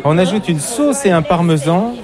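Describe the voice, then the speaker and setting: A man's voice: sits high, expressive, normal speed. A man reporting for radio on a food tour, curious and agreeable, with short reactions. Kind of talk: casual interview on location.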